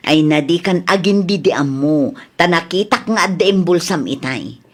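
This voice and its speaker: A woman's voice: fairly low pitch, lively intonation, moderate pace. A woman acting a suspicious, firm shop owner who probes and questions, in an audio comedy drama. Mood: stern. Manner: probing, firm.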